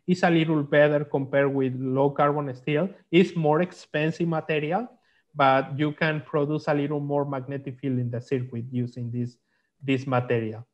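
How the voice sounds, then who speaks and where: A man explaining technical slides in halting, on a video call. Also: fairly high pitch, steady tone. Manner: halting, non-native English phrasing. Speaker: guest, magnetics consultant. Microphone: video call microphone. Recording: dull lo-fi online call.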